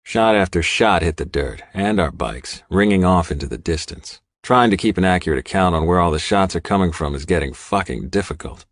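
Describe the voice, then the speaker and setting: Male audiobook narrator, low-pitched, dramatic first-person storytelling, dry close studio sound. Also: expressive, fairly quick, few pauses, dry recording.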